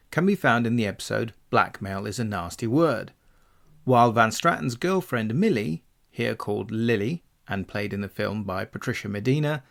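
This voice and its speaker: A man's voice: on the low side, creaky. A man hosting a podcast, reading scripted narration in a bookish, precise way. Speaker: podcast host.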